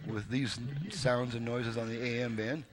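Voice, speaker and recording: A man's voice: medium pitch, steady tone, measured pace, crowd noise. An American man giving a casual, unscripted demonstration as a repair technician, explaining things step by step in a plain, folksy way.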